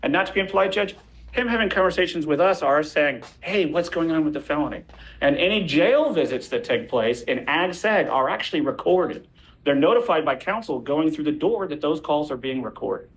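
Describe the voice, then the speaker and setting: A man's voice: a little high, expressive, fairly quick, low-quality recording. A man speaking as a defense lawyer in a courtroom, making an insistent but formal, courteous legal argument.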